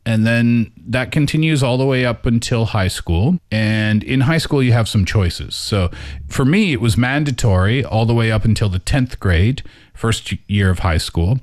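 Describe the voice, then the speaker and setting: A man's voice: mid-range voice, moderate pace. A middle-aged man from Canada chatting casually in a radio studio, rambling and self-deprecating. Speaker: regular guest co-host.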